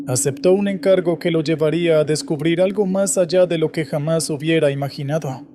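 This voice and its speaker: A man reading scripted first-person horror stories in a steady, measured way. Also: on the high side, slight background noise. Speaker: horror story narrator.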